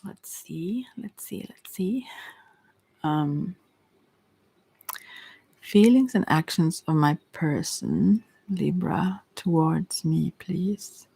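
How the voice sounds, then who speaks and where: A tarot reader talking casually and hesitantly, thinking aloud while answering questions, in a soft voice that at times drops to a whisper. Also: mid-range voice, drawn-out words, vocal fry, pauses often, breathy edge, poor audio. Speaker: tarot reader hosting a live reading.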